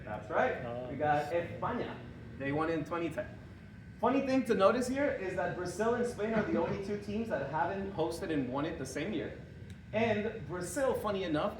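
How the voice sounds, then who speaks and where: Young male presenter from Honduras, playful and quizzing the crowd, recorded from the back of a noisy room. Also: high voice. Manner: joking, quizzing the audience, chuckling at own jokes. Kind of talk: lively interactive talk to a live audience. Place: hall with a live audience. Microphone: room mic from a distance. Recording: echoey hall recorded from far off, background noise.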